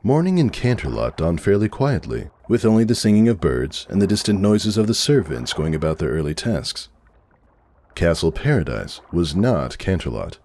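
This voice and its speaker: A man's voice: sits low, big pitch swings, moderate pace, darkish tone. A man narrating a story from a script as a dramatic reading. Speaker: narrator, fan fiction reader.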